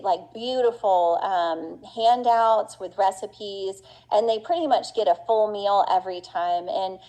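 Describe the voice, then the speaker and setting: A woman's voice: mid-range voice, warm tone, rough recording. A middle-aged woman giving an earnest, chatty conference talk as a business owner, with plenty of fillers and restarts. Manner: earnest and passionate.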